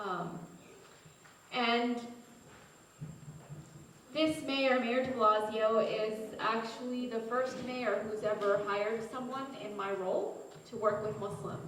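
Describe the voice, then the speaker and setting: A woman, a city advisor, giving a friendly seminar talk with frequent ums. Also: fairly high pitch. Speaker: city community affairs advisor. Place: large echoey hall. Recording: echoey hall, distant, faint noise.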